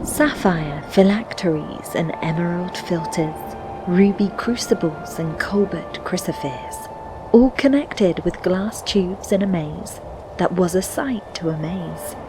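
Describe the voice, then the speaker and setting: A woman voicing a dreamy, curious adventurer, reciting rhyming verse as slow, measured storybook narration in a fantasy game. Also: fairly low pitch, noisy background. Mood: dreamy, wondering. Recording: music under the voice. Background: music.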